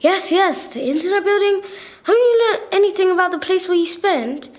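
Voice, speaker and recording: Girl acting as a harried receptionist lady, high voice, snooty and brisk, radio play. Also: animated. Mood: brisk, condescending. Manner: brisk, condescending.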